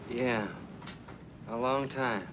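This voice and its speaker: Meek Western town drunk. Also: male voice, medium pitch, fairly even intonation, extremely slow. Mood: meek, defeated. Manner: hesitant, submissive. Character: meek, beaten-down town drunk in the Old West. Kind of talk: 1950s television Western acting. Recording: dull, noisy vintage TV soundtrack.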